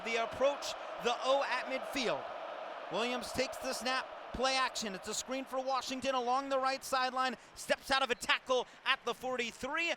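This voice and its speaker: A man calling football play-by-play for radio in clipped, descriptive phrases, projecting his voice like a sports announcer. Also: very high-pitched. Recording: loud stadium ambience behind the voice. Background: stadium noise.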